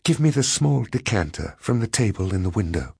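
Guy doing a weak scheming lady in an audiobook, breathy, begging and lovesick, close dry sound. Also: fairly low pitch, sing-song, fairly quick, mellow, dry recording, heavily acted. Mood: weak, pleading. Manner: gasping, whispering, pleading. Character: frightened, weakened, lovesick scheming woman, pleading. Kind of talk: audiobook character voice.

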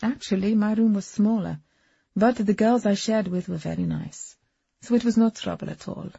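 A woman voicing a proud, softly steely young wife and hostess in an audiobook, with a soft Eastern European accent. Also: medium pitch, moderate pace, gravelly, husky, no echo, lo-fi sound, pitched above the performer's own voice. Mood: proud, composed.

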